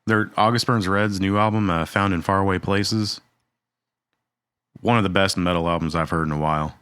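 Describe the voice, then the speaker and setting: Podcast co-host, male, thirties: low and bright, rambling and laid-back, dry close recording. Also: normal speed, smooth, no echo. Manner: relaxed, rambling, many fillers and restarts. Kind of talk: casual chat.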